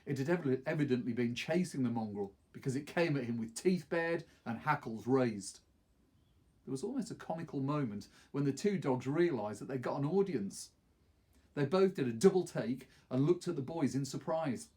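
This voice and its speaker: A middle-aged man with a husky voice, reading a novel aloud expressively. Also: medium pitch, expressive, moderate pace, darkish tone. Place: small wood-panelled study, indoors.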